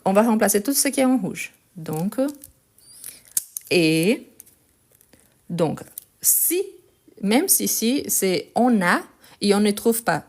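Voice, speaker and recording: Slow, patient woman teaching French. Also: mid-range voice, darkish tone. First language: Portuguese. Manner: patient, repeats words slowly, checks in with "ok?". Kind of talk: correcting a written exercise.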